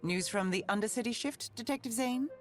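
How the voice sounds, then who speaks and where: A British actress voicing a calm, refined young police sheriff with crisp, wry delivery for a video game. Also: female voice, a little high, fairly quick, soft background music, natural voice. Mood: composed. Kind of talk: video game character voice lines.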